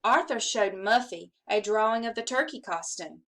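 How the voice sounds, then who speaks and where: A woman reading a children's story aloud in an expressive, animated way, acting out the dialogue with emphasis. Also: mid-range voice, normal speed. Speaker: assistant principal reading aloud to schoolchildren.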